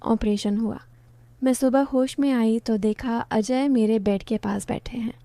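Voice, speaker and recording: A woman narrating a first-person story in a steady storytelling tone. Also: on the high side, a little slow, no echo.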